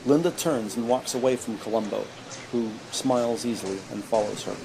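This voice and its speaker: A man's voice: medium pitch, fairly quick, creaky. Male narrator, scripted detective drama storytelling. Mid-range voice over noticeable background noise. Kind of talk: scripted audio drama narration in present tense. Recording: vehicle and ambient noise behind.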